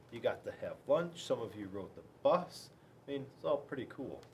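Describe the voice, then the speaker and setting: An American man reading a picture book aloud to children, playful and rambling, with dramatic pauses and chatty asides. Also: medium pitch, darkish tone, slight background noise. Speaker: school resource police officer reading to children.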